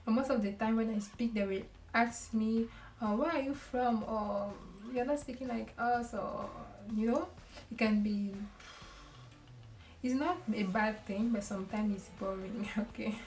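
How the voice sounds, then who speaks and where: A woman's voice: on the high side, a little mellow. Cameroonian woman vlogging, echoey room. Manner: halting, many ums and restarts. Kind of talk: casual advice talk to camera. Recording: echoey room, voice a bit far from the mic.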